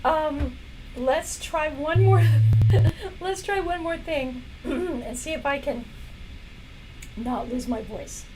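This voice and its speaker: Woman, live stream host, hoarse and fading voice, slow and chatty delivery, faint room noise behind. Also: high voice. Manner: chatty, apologetic, frequent coughs and throat clearing. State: hoarse, losing her voice. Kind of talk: casual product demo live stream. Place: indoor workplace studio.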